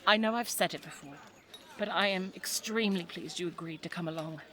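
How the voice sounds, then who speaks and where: Woman playing a low-voiced, spirited young English lady. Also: brisk, bright, forceful tone. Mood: playful, enthusiastic. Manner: playful, chatty, well-spoken. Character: spirited, teasing young 1920s English lady on archaeological expedition. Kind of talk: audio roleplay drama, addressed to the listener.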